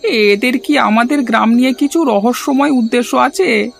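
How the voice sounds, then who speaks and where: A man's voice: very high-pitched, normal speed, vocal fry, faint music behind. A man playing an appreciative, inquisitive villager in a scripted cartoon. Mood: amazed, questioning.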